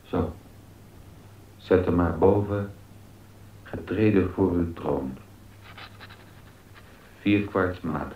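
A low, slow, exacting composer. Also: male voice, a little hiss or hum. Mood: slow, dictating. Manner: instructive, deliberate, pausing between short phrases. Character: baroque composer and music master, male, exacting yet amorous. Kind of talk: Dutch radio docudrama acting. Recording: dull, roomy sound with music behind.

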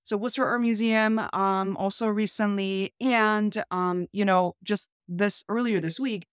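A woman's voice: mid-range voice, fairly even intonation, unhurried, clear, resonant tone, no room sound. Female video host, 30s to 40s, conversational demo delivery, dry home recording. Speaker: YouTube host. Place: small home room, indoors.

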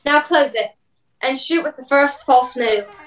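A woman's voice: high voice, a little slow, rough recording. A young woman playing a resolute, commanding ship's captain in an amateur radio play, speaking firmly.

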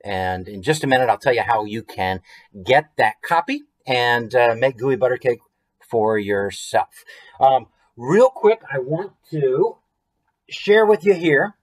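A man's voice: mid-range voice, measured pace. An American man in his thirties reading a children's book expressively, with chatty asides and questions to the listeners. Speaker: children's author reading own book to viewers. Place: small room at home, indoors.

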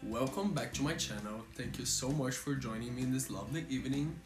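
Young man, Brazilian accent, Portuguese native, effusive fan vlogger with casual swearing; reverberant room, music in the background. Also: a little fast. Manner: gushing and playful, casual swearing, jumps to high excited squeals. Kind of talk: casual chat. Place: indoor room with a pink backdrop. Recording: roomy echo with music behind.

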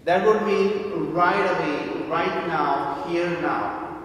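A man preaching a homily as a priest, in a deliberate, emphatic way with repetition and rhetorical questions. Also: fairly bright tone. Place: large church interior. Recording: echoey church, distant mic, faint rumbling noise.

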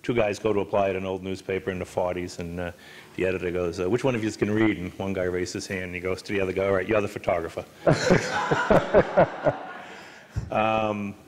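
An older man interviewing an author on stage, loud and chatty with joking asides. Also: deep voice, a little fast, pauses often, a little hiss or hum. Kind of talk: onstage conversation. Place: stage of a hall with an audience. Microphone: handheld mic.

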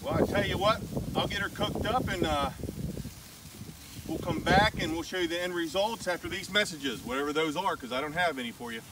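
A man's voice: sits high, a little fast. Folksy man filming outdoors in wind. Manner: folksy, joking, plainspoken. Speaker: home cooking vlog host. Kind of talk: casual cooking show chat. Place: outdoors by a smoker in wind and snow. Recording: distant phone audio with wind noise.

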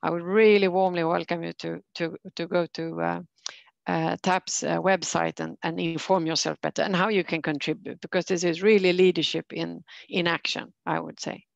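Woman, low creaky voice, panel moderator, halting delivery, dry close sound. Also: flat delivery, no echo, lo-fi sound. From Sweden. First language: Swedish. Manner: halting, hesitant delivery with frequent pauses. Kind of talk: introducing a speaker at an online panel.

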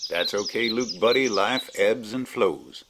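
A man's voice: lowish voice, a little slow, heavy background noise. A man playing an unflappable, obtuse and folksy rustic in an absurdist radio comedy. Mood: cheerful. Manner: folksy, deadpan wordplay. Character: obtuse, unflappable rustic country buddy, cheerfully pedantic.

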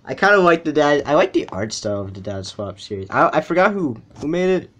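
Animated young man, higher-pitched voice. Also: fairly quick. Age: young adult. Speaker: reaction video host. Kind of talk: casual reaction commentary. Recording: loud video music behind the voice.

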